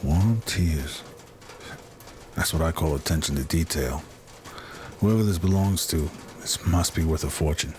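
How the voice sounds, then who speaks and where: Man playing a very deep, gruff, weary old detective. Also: unhurried, long pauses, a little mellow. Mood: knowing. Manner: gruff, profane, grumbling. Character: gruff, world-weary aging detective with robotic fingertips. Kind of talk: amateur noir radio drama acting. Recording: noisy amateur recording.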